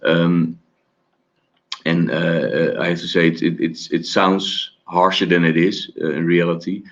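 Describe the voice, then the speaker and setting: Man, very deep voice, hesitant with fillers, dull lo-fi sound. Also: little pitch movement. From Netherlands. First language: Dutch. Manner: halting, many fillers and restarts. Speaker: presenter of study-abroad webinar. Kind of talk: informal webinar presentation.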